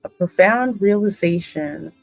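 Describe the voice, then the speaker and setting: A woman's voice: fairly low pitch, vocal fry, darkish tone, no room sound, low-quality recording. A woman hosting a gaming channel, narrating a story game and adding her own spoken asides. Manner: reads narration slowly, reacting aloud in asides. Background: music behind the voice.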